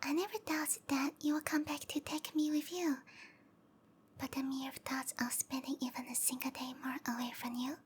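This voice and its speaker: A woman voicing a sweet, obsessive snake-girl lover in a breathy, cooing whisper for an intimate ASMR roleplay. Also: sits high, slight background noise. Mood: clingy, pleading. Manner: cooing, tender, possessive.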